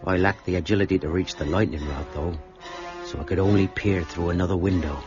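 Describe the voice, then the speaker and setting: An actor playing a deferential, shaken sailor confessing to a horror in an earnest radio drama style. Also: male voice, deep voice, fairly even intonation, a little fast. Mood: haunted recounting. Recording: muffled, with music behind.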